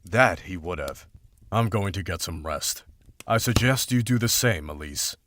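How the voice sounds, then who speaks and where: A man playing a grim, war-weary monster hunter in a visual novel, speaking in a solemn, formal, measured way. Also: sits low, lively intonation, noisy background. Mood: remorseful. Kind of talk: visual novel voice acting, dramatic journal narration and dialogue.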